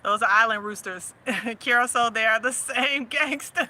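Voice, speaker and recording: A woman's voice: on the high side, moderate pace, bright, forceful tone. A woman with a Southern US accent hosting a casual chat, laughing often and teasing her audience. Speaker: host.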